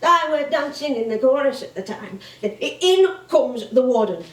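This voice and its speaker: An older Northern English music-hall comedienne, high-voiced and very animated. She reminisces in a rambling, exclamatory stage style, heard from a distance in an echoey theatre. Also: normal speed. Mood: fond, animated. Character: veteran comedienne, chatty, nostalgic older music-hall woman. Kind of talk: theatrical stage monologue and dialogue.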